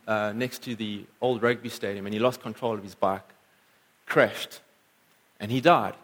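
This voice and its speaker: A pastor preaching a sermon in church, earnest, lifting and dropping his voice for emphasis. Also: fairly bright tone. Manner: earnest, rises and falls in pitch for emphasis.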